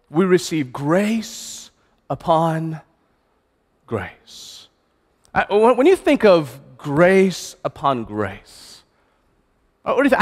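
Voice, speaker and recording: Pastor guy preaching on a church stage, really high voice, lots of restarts, big echoey hall. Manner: earnest, emphatic preaching with slowed stresses and restarts. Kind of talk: sermon. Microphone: stage mic, far camera.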